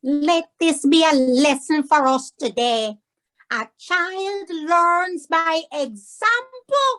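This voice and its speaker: Woman voicing a high-pitched, stern grandmother. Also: animated, takes it slowly, fairly bright tone, exaggerated voice. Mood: earnest, instructive. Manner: questioning, moralizing. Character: elderly Caribbean grandmother, stern and wise. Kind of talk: storybook read-aloud.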